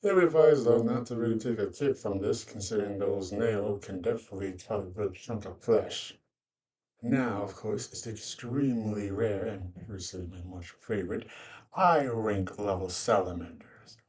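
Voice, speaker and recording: A man narrating an informal fantasy creature guide in halting, loosely phrased explanations. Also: fairly low pitch, darkish tone, far from the mic, poor audio.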